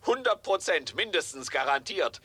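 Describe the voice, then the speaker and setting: An actor playing a slick, scheming promoter in a 1970s German radio play, coaxing and pitching with pushy sales patter. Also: male voice, moderate pace, breathy edge, heavily acted. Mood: excited pitching. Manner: persuasive sales patter, swinging from coaxing to excited.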